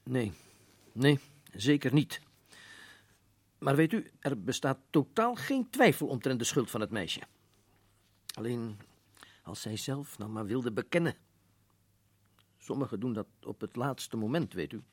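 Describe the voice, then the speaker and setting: Give me a man playing a matter-of-fact colonel running a prison, husky and dry. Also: mid-range voice, expressive, a little slow, long pauses, dry recording. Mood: firm. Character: stern older male prison governor, a colonel. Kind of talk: radio play acting.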